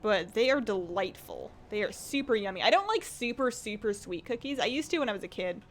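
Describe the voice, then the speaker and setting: A woman's voice: medium pitch, expressive, a little fast, slight background noise. A woman game streamer chatting casually and rambling as she plays, her voice a little strained from talking. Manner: chatty, rambling, reads viewer comments aloud. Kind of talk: casual livestream chat while gaming.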